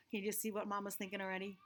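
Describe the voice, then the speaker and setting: A woman reading a picture book aloud to children, expressive, with chatty asides and questions to the listeners. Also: medium pitch. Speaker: read-aloud storyteller for children.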